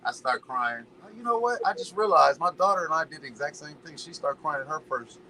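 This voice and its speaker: Guest, male, bright forceful voice, animated slangy storytelling, slight hiss behind. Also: a little high, a little fast, poor audio. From Los Angeles. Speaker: podcast guest. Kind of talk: casual chat.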